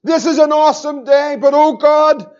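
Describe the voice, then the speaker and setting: A Northern Irish man preaching with fervour, his voice sitting unusually high for a man. He repeats phrases and draws words out slowly for weight. The recording sounds dull and lo-fi. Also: fairly even intonation, darkish tone. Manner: fervent preaching, repeating phrases, slowing for emphasis. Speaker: pastor. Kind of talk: sermon.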